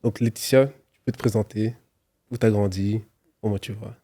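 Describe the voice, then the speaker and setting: A man hosting an interview, introducing his guest and asking questions in a calm style. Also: lowish voice, normal speed, dry recording, studio quality. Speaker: host, interviewer.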